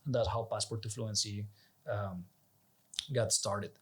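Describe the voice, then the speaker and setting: A man's voice: on the low side, fairly even intonation, unhurried, a bit bright, breathy edge. A man from Colombia, a native Spanish speaker with a Colombian accent, talking as an interview guest in an earnest, persuasive, conversational way. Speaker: interview guest, executive director. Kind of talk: conversational explanation.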